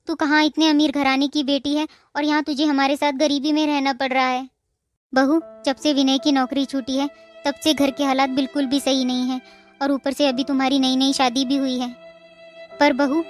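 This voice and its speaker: A woman voicing a caring middle-aged mother-in-law in a cartoon, speaking in a warm, reassuring, motherly way. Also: high voice, level tone, normal speed, faint music behind, close to an everyday voice. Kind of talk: Hindi cartoon dubbing.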